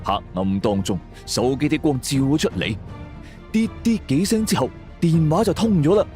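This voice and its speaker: A man's voice: on the high side, lively intonation, moderate pace, a little mellow. A man narrates a scripted crime and ghost story in a suspenseful, theatrical way. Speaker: audio drama narrator. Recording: music bed under the voice. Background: background music.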